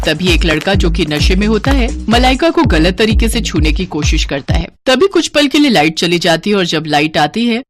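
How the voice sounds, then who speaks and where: Lively woman narrating a story. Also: medium pitch. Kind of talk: scripted dramatic story narration.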